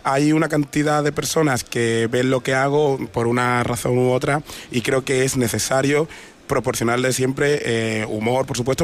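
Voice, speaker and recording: A man's voice: medium pitch, a little hiss or hum. A man with an Andalusian accent, an actor chatting in a radio interview, joking and laughing with many restarts. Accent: Andalusian Spanish. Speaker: actor guest. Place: radio studio.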